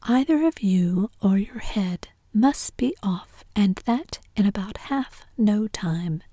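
Woman whispering as a short-tempered queen, breathy, dry, hushed. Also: mid-range voice, lively intonation, slow pace, no echo. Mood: commanding. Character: bad-tempered queen who orders executions.

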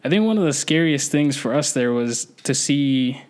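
A man in his twenties, originally from Somalia and a native Somali speaker, recounts his story as a podcast guest. He talks in an easygoing, rambling way, full of ums and likes, at a middle pitch for a man. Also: lively intonation, normal speed, darkish tone. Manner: easygoing storytelling, frequent fillers. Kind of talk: casual podcast conversation.

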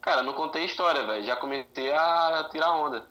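A man's voice: a little high, fairly quick, sharp tone. A Brazilian man chatting casually, telling stories in a joking, slangy way and acting out the dialogue. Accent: Brazilian Portuguese. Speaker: podcast guest. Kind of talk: animated casual storytelling among friends.

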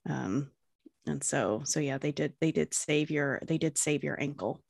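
A woman's voice: sits low, unhurried, gravelly, no room sound, clean studio sound. An American woman hosting a podcast, teasing her guests and swearing freely in casual chat. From United States. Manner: teasing, foul-mouthed, lively. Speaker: podcast host.

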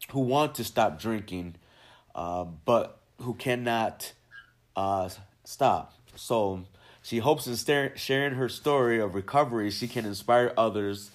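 Low-voiced male podcast host, halting. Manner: halting, with frequent uhs and ums. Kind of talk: interview introduction and questions.

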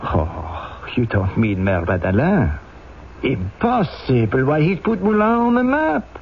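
Animated, inquisitive police subordinate. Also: male voice, medium pitch, a little slow. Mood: incredulous questioning. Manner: inquisitive, expressive. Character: deferential, questioning male police subordinate. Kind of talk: old-time radio drama acting. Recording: muffled, slightly hissy old radio recording.

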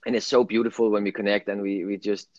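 A man's voice: on the low side, little pitch movement, fast talker, darkish tone, no echo, dull audio. A middle-aged man from Denmark with a Danish accent, talking in an earnest, rambling way in a casual spiritual conversation. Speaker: guest, spiritual channel.

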